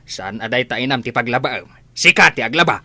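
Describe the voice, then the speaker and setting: A man's voice: mid-range voice, expressive, brisk, bright, forceful tone, no room sound, full character voice. A man playing a bossy, fussing middle-aged village father in a radio comedy, scolding and emphatic. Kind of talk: radio comedy drama acting.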